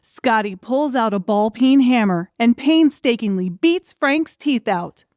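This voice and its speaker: A woman's voice: fairly high pitch, lively intonation, measured pace, a little mellow, dry recording, clean studio sound. A woman reading scripted, dramatic audiobook narration.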